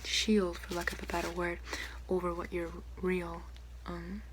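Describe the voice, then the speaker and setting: A young woman doing an ASMR doctor roleplay in a breathy whisper and hushed soft speech, politely clinical. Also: lowish voice, level tone, a little slow. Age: young adult. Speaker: ASMR performer playing a doctor. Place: small room indoors. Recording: noisy, hissy close-up home recording. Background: clear background noise.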